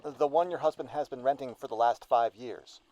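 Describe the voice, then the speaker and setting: A man's voice: medium pitch, normal speed, a little mellow, natural voice. A man playing a formal, businesslike storage company employee on a phone call in an audio drama. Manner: formal, procedural. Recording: dull, band-limited phone-call sound.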